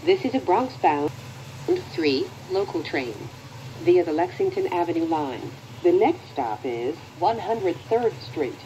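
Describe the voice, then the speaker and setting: An American woman reading scripted transit announcements in a measured, formal manner. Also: fairly low pitch, lively intonation, mellow, a little hiss or hum, poor audio.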